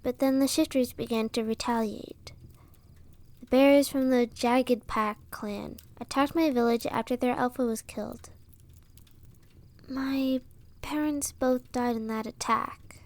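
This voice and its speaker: A woman playing an earnest, sorrowful young villager and rebel in a fantasy audio roleplay, speaking with dramatic pauses. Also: on the high side, steady tone, a little mellow. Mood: sorrowful, grave. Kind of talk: audio roleplay acting, storytelling dialogue.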